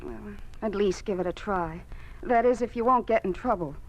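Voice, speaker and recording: An actress playing a worried, principled wife and mother in an old-time radio drama, emotional and halting. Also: mid-range voice, dull audio, heavy background noise, natural voice. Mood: pleading, resigned.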